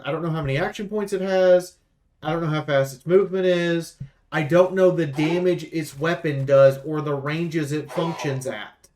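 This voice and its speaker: A man's voice: high-pitched, a little hiss or hum. A man giving unscripted, blunt and emphatic game review commentary in an aggrieved, complaining way as a preview host.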